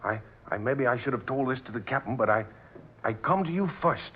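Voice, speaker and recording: An actor playing an earnest, excitable sailor, respectful and hesitant with folksy seaman's talk, in an old-time radio drama. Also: medium pitch, fairly quick, slightly rough. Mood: nervous, deferential. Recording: dull old radio recording with hiss.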